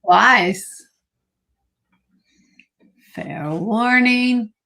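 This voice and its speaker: Slow-spoken woman calling out auction bids. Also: a little high, creaky, sharp tone. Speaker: co-host of auction sale. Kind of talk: live online auction sale.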